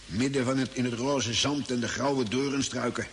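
An actor playing an easygoing old desert hermit in a radio drama, folksy and hesitant in his unhurried talk. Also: medium pitch, fairly even intonation, natural voice. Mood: reminiscing. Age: older. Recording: hissy old radio recording with long reverb.

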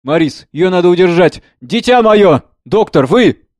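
A man's voice: high-pitched, animated, measured pace, a little mellow, dry recording, voice pushed up. A man playing an impassioned, solemn father in a stage drama, declaiming with emphatic repetition. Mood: alarmed, urgent. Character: impassioned father, consoling visionary, solemn and fervent.

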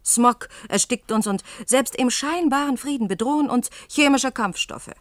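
A woman's voice: a little high, moderate pace, no room sound, natural voice. Actress as an outspoken woman journalist, dry studio sound. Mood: urgent, accusing. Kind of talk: German science-fiction radio play acting.

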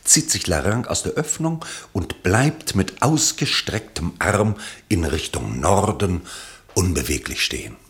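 Ein Mann liest als Erzähler eines Hörspiels einen literarischen Text mit dramatischem Ausdruck. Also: male voice, sits low, sing-song, unhurried, fairly bright tone. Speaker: narrator. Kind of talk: scripted radio play narration, literary and dramatic.

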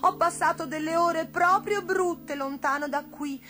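A woman's voice: unhurried, music in the background. A woman voicing a devoted female witch's cat in a storybook, with a plaintive, coaxing, emotional way of talking. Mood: wistful. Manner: high, emotional, plaintive delivery.

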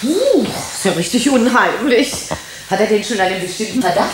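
Actress as animated, uneasy woman doctor, roomy. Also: mid-range voice, normal speed, noisy background, lightly acted. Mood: uneasy, curious. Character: anxious, curious woman doctor. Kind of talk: radio drama acting.

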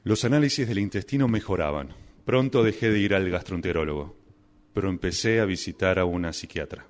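A man's voice: low voice, a little fast, natural voice. A man with an Argentine accent playing an anguished, melodramatic addict in a comic radio drama, narrating his own suffering. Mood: rueful narration. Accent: Argentine Spanish. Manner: stammering, exasperated, melodramatic.

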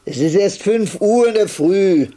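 An elderly carer in a radio play, with a fairly high, lively voice that swings up and down. He is impatient and weary, chiding his bedridden partner in a brisk manner. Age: older. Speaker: radio drama actor. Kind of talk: radio drama dialogue.

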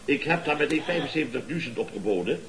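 An actor playing a blustering, boastful contractor boss in a Dutch radio comedy, with a pompous, theatrical, lecturing manner. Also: male voice, mid-range voice, animated, fast, crowd noise, lightly acted. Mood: flustered. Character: blustering, boastful contractor boss and uncle, scheming wheeler-dealer.

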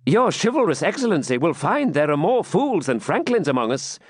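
A man's voice: sing-song, husky, no echo, clean studio sound. A man voicing a witty young medieval jester in a pert, raised voice. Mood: cheeky. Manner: quick, pert, raised pitch.

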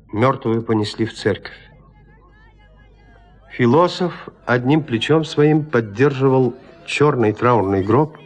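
A man narrating classic literature in an expressive, deliberate, theatrical manner. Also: mid-range voice. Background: music.

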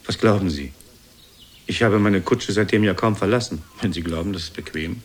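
Guy acting a plaintive self-pitying womaniser son, lowish voice, radio play, noisy background with birds. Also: normal speed, natural voice. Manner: pleading, plaintive, self-justifying.

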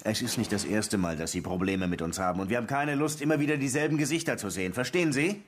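Radio crime drama: male actor as sardonic detective interrogator, lowish voice, stern, faint ambient noise behind. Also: fast, close to an everyday voice. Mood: pressing, sardonic. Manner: dry, insistent, pressing. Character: sardonic, insistent male Havana police lieutenant interrogating suspects.

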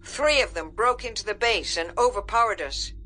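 A gruff, self-assured army general, played by a woman reading a storybook. The delivery is blunt and barking, full of clipped impatience, and rises above the reader's own pitch. Faint music accompanies it. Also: animated, moderate pace, bright, forceful tone. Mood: harsh, confident. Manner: barking, blunt, folksy slang. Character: gruff, confident American military general, harsh and impatient.

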